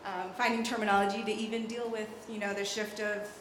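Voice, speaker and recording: A woman's voice: medium pitch, flat delivery, quick pace. A young adult woman in the audience, rambling and hedging as she makes a long comment. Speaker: audience member. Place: large hall at a public event. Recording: echoey hall, faint room noise.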